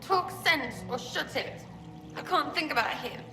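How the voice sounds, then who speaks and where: A woman playing a scrappy, rough-spoken young archer in a game, distraught, blunt and halting. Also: sits high, expressive, over music. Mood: defensive, upset. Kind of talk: dramatic game voice acting. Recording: echoey room.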